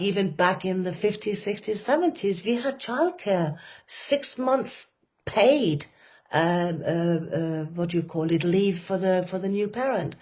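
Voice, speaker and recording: A woman with a Danish accent speaking earnestly and with passion, pausing often with fillers and restarting her sentences. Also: medium pitch, darkish tone. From Denmark. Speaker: women's work facilitator. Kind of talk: reflective conversation.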